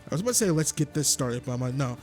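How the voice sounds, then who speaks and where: A man's voice: mid-range voice, expressive, rapid-fire, a little mellow, soft background music. A man hosting a casual gameplay walkthrough, chatty and rambling, often correcting himself. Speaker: gaming YouTuber.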